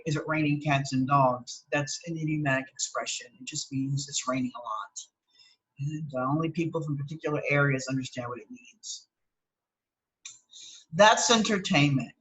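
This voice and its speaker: A woman's voice: deep voice, a bit bright, rough recording. A woman reading an article aloud as a teacher, breaking off for plain explanatory asides and checking that listeners follow. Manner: instructive, checks understanding with tags like okay and right.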